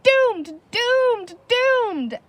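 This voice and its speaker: Woman playing a panicky little creature chief, high-pitched. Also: lively intonation, drawn-out words, no room sound, heavily acted. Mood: panicked. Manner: frantic, whimpering, melodramatic. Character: small wizened male creature chief, panicky and cowardly. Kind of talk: children's storybook character voice.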